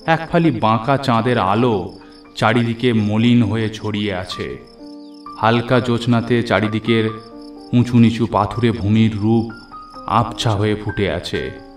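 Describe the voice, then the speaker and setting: A man's voice: fairly low pitch, far from the mic. A man giving scripted first-person narration of a horror story. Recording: echoey, off the mic, music behind.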